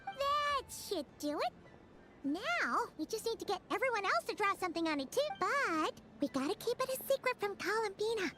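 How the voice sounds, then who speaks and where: Chirpy childlike fairy, extremely high squeak, very lively, game acting, music underneath. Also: female voice, moderate pace, exaggerated voice. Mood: eager, conspiratorial. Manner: squeaky, excitable. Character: tiny, chirpy, childlike fairy companion, eager and bossy. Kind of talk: animated video game voice acting.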